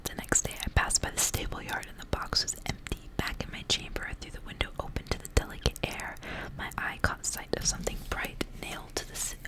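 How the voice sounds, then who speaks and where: A woman's voice: fairly quick, rough recording. Whispering woman reading a story, ASMR style. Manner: hushed, whispering throughout. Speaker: ASMR reader.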